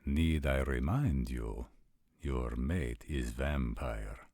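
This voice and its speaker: A man playing a formal, suspicious vampire nobleman with a measured, deliberate manner in an audio roleplay drama. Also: very deep voice, a little mellow, no echo, clean studio sound, full character voice. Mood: stern, cold.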